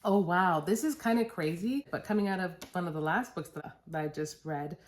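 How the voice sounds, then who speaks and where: A woman's voice: fairly low pitch, normal speed. A middle-aged woman vlogging about books in a casual, rambling way, correcting herself and laughing at her own words, with a stuffed-up nose. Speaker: book vlogger. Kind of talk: casual chatty book review. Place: basement at home.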